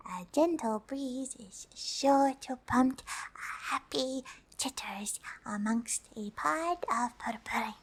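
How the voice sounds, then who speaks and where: A woman voicing a cute, friendly, curious pink alien in a soft, breathy, gently hesitant ASMR whisper. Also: high voice, slow pace, slight background noise. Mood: softly instructive. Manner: gentle, hesitant, third-person speech with careful scientific wording. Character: cute, curious, friendly pink female alien explaining human summer tips. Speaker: host.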